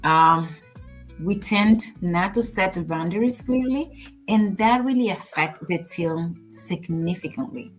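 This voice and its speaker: A woman co-hosting a podcast, talking in a measured, earnest, advice-giving way in casual conversation. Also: fairly low pitch, faint music behind, rough recording. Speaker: podcast co-host.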